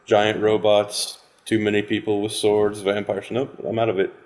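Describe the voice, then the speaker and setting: A man chatting casually on a podcast, making wry remarks in a deadpan way. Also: lowish voice, normal speed.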